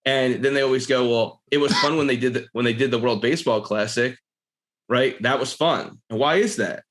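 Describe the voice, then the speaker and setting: A man from Philadelphia hosting a podcast, talking in an earnest, animated way and often restarting his phrases. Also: medium pitch, brisk. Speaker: podcast host. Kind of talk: casual conversation.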